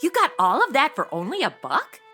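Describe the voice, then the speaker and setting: A woman's voice: high voice, huge pitch swings, brisk, sharp tone, faint music behind, exaggerated voice. A woman acting as a sassy, flippant modern young woman in a comedy audio drama, sarcastic and exclamatory. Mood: flippant, complaining.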